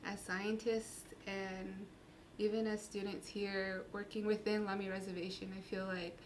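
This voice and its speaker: A woman from Utah, a student research intern, speaking in an interview in a measured, earnest way with some pauses. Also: mid-range voice, level tone, slight background noise. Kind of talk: interview about her research.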